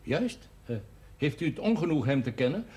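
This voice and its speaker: A man acting a wry, cultured senior cleric in a television period drama, speaking in measured, formal and ironic phrases. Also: a little high, big pitch swings, darkish tone, breathy edge, heavily acted. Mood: ironic, deliberate. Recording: noisy old 1970s television soundtrack.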